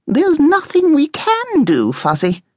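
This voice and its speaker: Narrator putting on a bossy, high-pitched female guinea pig. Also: wildly swooping pitch, takes it slowly, dry recording, heavily acted. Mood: dismissive. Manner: sharp, scolding, emphatic.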